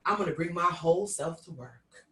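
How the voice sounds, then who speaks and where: A woman's voice: lowish voice, moderate pace, roomy sound, far from the mic. A woman host from New York with a Queens accent, talking candidly and animatedly on a video call. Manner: lively, candid, animated storyteller. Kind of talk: casual conversation on a video call.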